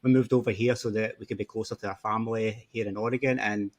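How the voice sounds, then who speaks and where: A man's voice: fairly low pitch, fairly even intonation, quick pace. Easygoing Scottish man in his forties. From Scotland. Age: 40s. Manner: easygoing, rambling storyteller. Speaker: podcast guest. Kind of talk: casual interview chat.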